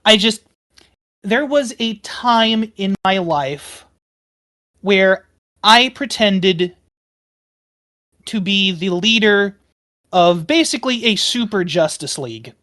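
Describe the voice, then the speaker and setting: A woman playing a tabletop game, talking casually and haltingly, repeating phrases for emphasis. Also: mid-range voice, animated, fairly bright tone.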